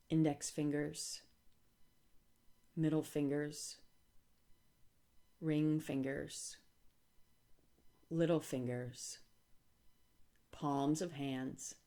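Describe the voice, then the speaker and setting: Low, slow-paced woman guiding relaxation. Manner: calm, gentle, deliberate instruction with pauses. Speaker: yoga instructor. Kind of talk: guided relaxation meditation.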